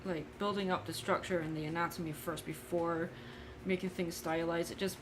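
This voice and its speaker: A woman with a somewhat low voice talks casually as she draws on a livestream. She muses aloud in loose, unscripted fragments. Clear background noise runs through the recording. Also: fairly even intonation, normal speed. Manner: musing, thinking aloud in fragments. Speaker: artist livestreaming a drawing session. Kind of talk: casual unscripted drawing commentary.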